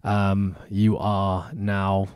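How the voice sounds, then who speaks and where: A man's voice: low-pitched, completely flat delivery, drawn-out words, darkish tone, clean tone, no room sound, studio quality. A man hosting a podcast in casual interview style, chatty and upbeat with joking asides. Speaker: podcast host. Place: podcast studio in Hong Kong.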